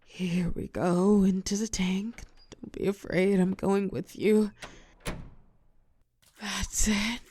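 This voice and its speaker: A woman playing a telepathic villain and former hero in a roleplay audio drama, protective and sharp-tongued, with a breathy voice that often drops to a whisper. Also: medium pitch, level tone, no echo. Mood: tender, soothing. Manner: slow, commanding yet tender coaching.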